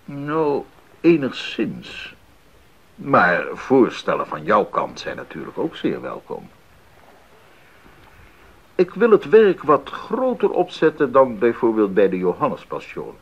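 An actor playing an earnest, enthusiastic Baroque composer in a 1980s radio drama, speaking deliberately and expressively with thoughtful pauses. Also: male voice, medium pitch, wildly swooping pitch, creaky, slightly rough, exaggerated voice. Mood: thoughtful, visionary. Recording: muffled 1980s radio recording.

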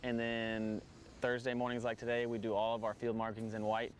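A man's voice: mid-range voice, monotone, a little fast, slight background noise. Hesitant man on a lapel mic outdoors. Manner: hesitant, many ums and repeated words. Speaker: stadium field manager. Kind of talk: casual interview answers. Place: outdoors in a large empty stadium.

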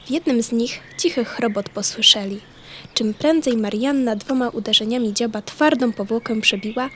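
A woman with a fairly high voice reads a children's story as narrator. Her telling is expressive and folksy. Faint noise and birdsong sit behind her.

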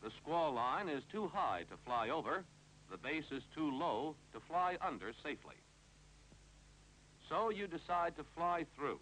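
An American man narrates a 1950s instructional film in a clipped, authoritative way. His voice is bright and forceful, with lively intonation that rises and falls through each instruction. The old film soundtrack adds faint noise and hum. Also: on the high side, a little slow, low-quality recording.

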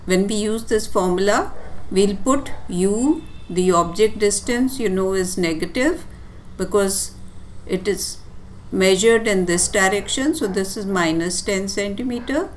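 A woman teacher giving a step-by-step tutorial explanation in a deliberate, instructive way with short, pausing phrases. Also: medium pitch, slight background noise. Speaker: physics teacher. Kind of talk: step-by-step tutorial explanation over handwritten working.

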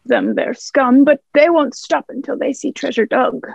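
Young woman as male pirate, commanding and crafty, high-pitched, creaky, salty dialect, radio play, dull recording. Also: normal speed, darkish tone, no room sound. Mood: wheedling. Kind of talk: stage-style radio play acting.

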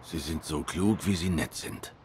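Deep-voiced brooding detective tomcat. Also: male voice, unhurried, darkish tone, slight background noise. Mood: earnest, restrained. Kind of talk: noir game dialogue acting.

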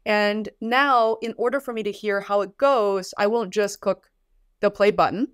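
A woman's voice: mid-range voice, moderate pace, smooth, dry recording. Upbeat woman, dry close sound. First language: Mandarin Chinese. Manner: upbeat, chatty walkthrough. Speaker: host. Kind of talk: software tutorial. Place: home studio room.

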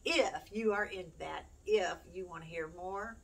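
Low-voiced woman, distant mic. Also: a little slow, vocal fry, husky. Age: middle-aged. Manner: chatty, rambling, self-deprecating. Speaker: homeschooling mom, YouTube host. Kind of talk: casual chat to camera. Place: small room at home, indoors. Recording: distant mic, noisy room.

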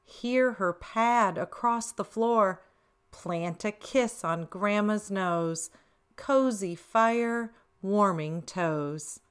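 A middle-aged woman hosting a children's read-aloud, expressive, reading picture-book lines and chatting to young viewers with questions. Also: on the high side. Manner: expressive, addressing small children with questions and exclamations. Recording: roomy echo, distant microphone.

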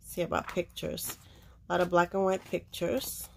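A woman talks casually with a slightly low, gravelly voice, rambling and trailing off mid-sentence. She sounds like she is leaning over a table of books, with a faint hiss behind her. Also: flat delivery, normal speed, a little mellow, rough recording. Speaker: seller showing books for auction. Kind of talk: casual show-and-tell.

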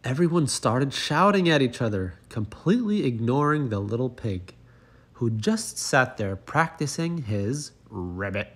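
A man narrating a children's picture book as a read-aloud, in an expressive storytelling manner. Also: medium pitch, measured pace.

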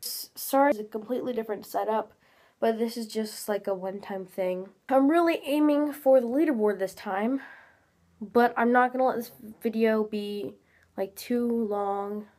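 A woman's voice: a little high, moderate pace, warm tone. A teenage girl chatting casually while playing a game, a little nasal from congestion, talking from a small room at home into a webcam. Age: teens. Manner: chatty, rambling, many fillers. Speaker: gaming channel host. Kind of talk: casual gameplay commentary.

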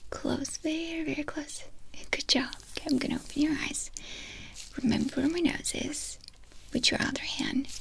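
A young woman plays a doctor in an ASMR exam, speaking in a breathy whisper close to the mic. Her voice sits high with a noticeable crackle. She gives gentle, reassuring instructions. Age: young adult. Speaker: ASMR performer playing a doctor. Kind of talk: ASMR medical exam roleplay. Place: small indoor room dressed as a clinic. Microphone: close microphone in front of the speaker.

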